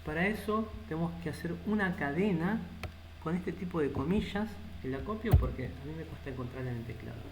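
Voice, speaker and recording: A man's voice: on the high side, animated, fairly quick. Rioplatense man teaching code, echoey room. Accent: Rioplatense Spanish. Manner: thinks aloud while typing code. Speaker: programming instructor. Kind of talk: live coding lesson. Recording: echoey room with loud background noise.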